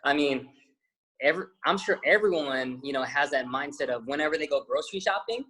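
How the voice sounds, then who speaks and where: A man's voice: on the high side, lively intonation, brisk, slightly rough. A young man, a fitness trainer, chatting casually as an interview guest, rambling with lots of fillers and restarts. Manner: says he has a loud voice.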